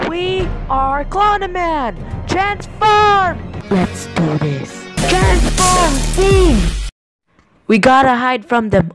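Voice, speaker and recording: A woman acting out amateur cartoon lines as an excitable young superhero. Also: on the high side. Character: excitable young superhero shouting battle calls.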